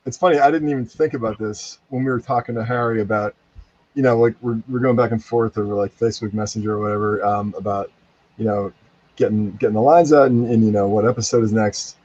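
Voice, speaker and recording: Guy rambling casually, quoting himself, mid pitch, dry kinda dull lo-fi audio. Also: steady tone, fast talker, darkish tone, dry recording. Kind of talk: casual animated chat.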